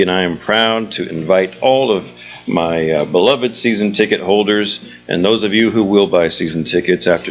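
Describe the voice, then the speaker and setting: A man speaking as a theatre director and host, giving an informal, joking welcome speech to a live audience. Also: sits low. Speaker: theatre executive director hosting a show. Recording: dull lo-fi live recording, music behind.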